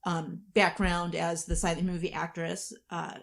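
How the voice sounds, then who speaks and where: A woman's voice: fairly low pitch, level tone, unhurried, creaky. A woman co-hosting a podcast in casual, conversational style, talking about her creative work. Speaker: podcast co-host, writer and performer. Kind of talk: casual behind-the-scenes podcast chat.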